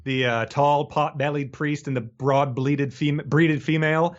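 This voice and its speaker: A man co-hosting a podcast in casual book discussion, with halting, repeating phrasing and a slightly lo-fi recording. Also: fairly high pitch, fairly even intonation. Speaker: podcast co-host. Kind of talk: casual book discussion with read-aloud passages.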